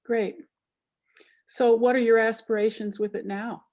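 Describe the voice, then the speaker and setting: Woman host asking short questions, mellow darkish voice. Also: fairly high pitch, flat delivery, unhurried. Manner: brief, prompting questions. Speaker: interview host. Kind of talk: interview questions.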